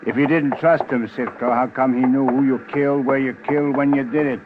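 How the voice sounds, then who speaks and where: An actor playing a taunting, hard-boiled police detective with sarcastic delivery in a 1950s radio crime drama. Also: male voice, medium pitch, fairly even intonation, fairly quick, phone-quality sound, close to an everyday voice. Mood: mocking. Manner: sneering, sarcastic. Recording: thin, noisy old radio broadcast.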